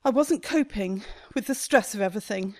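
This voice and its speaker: A woman's voice: medium pitch, fairly quick, no echo, close to an everyday voice. A woman acting in a radio play as a stressed, despairing shop owner, weary, clipped and self-pitying. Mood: resigned, despairing.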